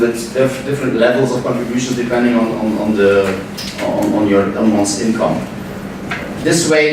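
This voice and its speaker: A man from Belgium giving a conference talk, hesitant, with many repeated words and restarts. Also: mid-range voice. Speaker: panel speaker. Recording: echoey hall, distant mic, background noise.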